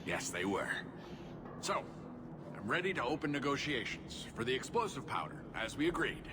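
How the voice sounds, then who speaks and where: A man's voice: medium pitch, big pitch swings, normal speed, noisy background, natural voice. A man voicing a brash, greedy dwarf merchant in a video game, talking in a cocky, blustering way.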